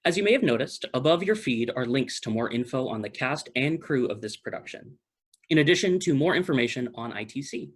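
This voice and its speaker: A man's voice: mid-range voice, lively intonation, a little fast, breathy edge. A man giving scripted pre-show announcements as the announcer for a theatre company.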